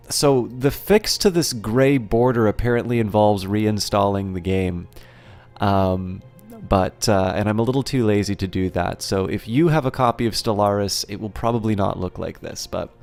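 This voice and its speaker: Gravelly, halting man hosting a live stream. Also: fairly low pitch, darkish tone. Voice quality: slightly rough voice. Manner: halting, rambling, thinking aloud. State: getting over a recent illness. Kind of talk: casual live stream chat, greeting viewers.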